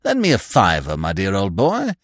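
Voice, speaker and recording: A man plays a hearty, gushing fellow from a comic storybook, everybody's old chum. His pitch swoops extravagantly and the sound is close and dry. He is effusive and chummy, full of exclamations. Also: wildly swooping pitch, normal speed, dry recording, full character voice. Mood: chummy, wheedling. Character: jovial hearty male sponger, gushing old-chap bonhomie.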